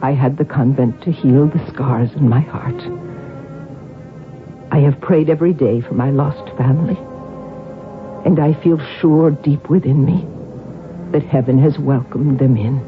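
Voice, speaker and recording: An actor voices an aged, sorrowful matron from a convent in a vintage radio play. The delivery is slow, reflective and solemn. Music sits behind the voice on a thin, phone-like recording. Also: mid-range voice, close to an everyday voice. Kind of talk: 1970s radio drama acting.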